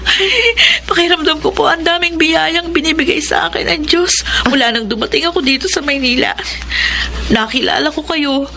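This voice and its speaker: A woman's voice: high voice, moderate pace, creaky, pushed and bright, full character voice. A woman playing a hardworking, devout young working student in a Filipino radio drama, earnest and polite. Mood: tearful, grateful. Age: young adult.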